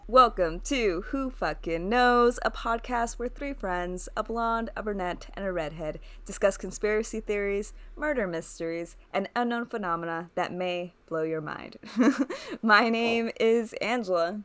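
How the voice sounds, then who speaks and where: A woman's voice: mid-range voice, unhurried, fairly bright tone. A woman co-hosting a podcast in casual chat among friends, talking informally with plenty of "like". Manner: informal, uses "like" often. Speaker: podcast co-host.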